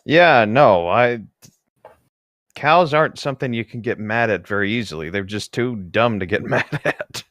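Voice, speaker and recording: A man's voice: medium pitch, moderate pace, fairly bright tone, no room sound, studio quality. A man co-hosting a read-along, talking casually in a loose, rambling way and reading passages of a novel aloud. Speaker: co-host of a book read-along show.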